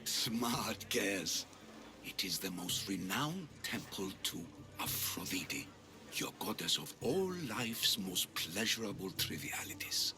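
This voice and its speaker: Slow, lofty poetic storyteller. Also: male voice, sits low, expressive, gravelly, full character voice. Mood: knowing. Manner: grandiose, lyrical, savouring words. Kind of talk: video game cutscene acting. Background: loud music.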